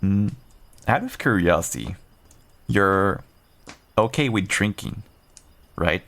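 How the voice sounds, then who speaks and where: Man voicing a deep, gravelly werewolf adventurer. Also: animated, takes it slowly, fairly bright tone, full character voice. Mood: amused, agreeable. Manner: reassuring, wry, bantering. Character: seasoned male werewolf adventurer, gruff but kindly, teasing rescuer. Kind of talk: audio roleplay, one-sided dialogue addressed to listener.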